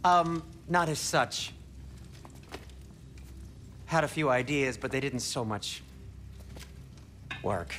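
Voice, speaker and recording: A man's voice: medium pitch, expressive, slow, long pauses, fairly bright tone, slight background noise. An actor playing a fretful, prim dwarf craftsman in a video game, hesitant and easily exasperated. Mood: nervous. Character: fussy, anxious, exasperated male dwarf craftsman. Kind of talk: video game character acting.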